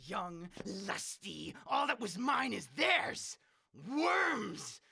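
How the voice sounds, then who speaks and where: A man's voice: very high-pitched, very animated, extremely slow, vocal fry, pushed and bright, a little hiss or hum, full character voice. A man playing a dying old tyrant leader in a radio drama, ranting, imperious and threatening. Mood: bitter, desperate. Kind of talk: 1930s-style radio drama acting.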